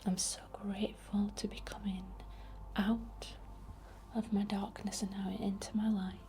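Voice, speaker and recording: Whispering Northern English woman, soft ASMR. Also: mid-range voice, level tone. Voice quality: breathy, unvoiced whisper. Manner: soft, unhurried, reassuring. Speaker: ASMR creator and witch. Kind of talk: whispered ASMR, gentle spiritual affirmations.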